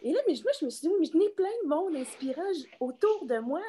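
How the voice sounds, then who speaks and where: Chatty Quebec woman hosting, slightly high voice. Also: animated, quick pace, mellow. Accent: Quebec French. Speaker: interviewer and host. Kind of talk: casual online interview.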